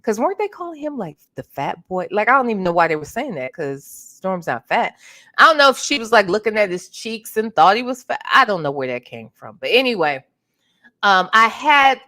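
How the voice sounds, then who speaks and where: A woman hosting a casual livestream, chatting with her viewers while sounding congested and clearing her throat now and then. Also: medium pitch, sharp tone. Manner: chatty, greets viewers by name. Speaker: livestream host.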